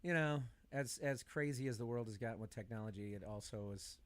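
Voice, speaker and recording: A man's voice: mid-range voice, brisk. A middle-aged man in a casual podcast chat, talkative and opinionated. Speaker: podcast speaker.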